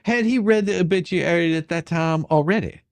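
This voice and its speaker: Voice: mid-range voice, a little fast, no room sound, close to an everyday voice. A performer playing a pushy, exasperated attorney in a dramatic reading of a deposition, badgering, sarcastic and coarse. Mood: probing. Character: pushy, folksy, exasperated attorney questioning a witness.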